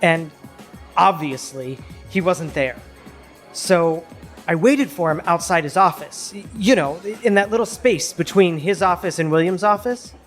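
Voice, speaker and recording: A man's voice: fairly high pitch, measured pace, a bit bright. A nervous young office associate in an audio drama. He talks hesitantly and defensively, with music running underneath. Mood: insistent, confiding.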